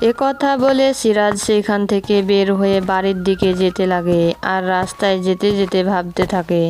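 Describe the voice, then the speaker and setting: A woman's voice: medium pitch, steady tone, normal speed, darkish tone, soft background music. A woman narrating a scripted cartoon moral story.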